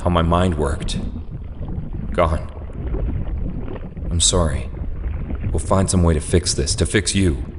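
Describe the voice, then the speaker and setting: A man voicing a grave, resolute scientist in a superhero comic dub, speaking in a solemn, measured way. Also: deep voice, noisy background. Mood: grave, pained. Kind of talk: dramatic comic dub voice acting. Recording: long hall reverb under loud music.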